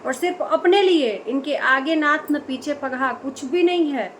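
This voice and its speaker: High-pitched woman giving emphatic commentary, noisy recording. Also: unhurried. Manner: emphatic, full of rhetorical questions. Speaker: online commentator. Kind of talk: opinionated vlog commentary on a family dispute. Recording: noticeable background noise.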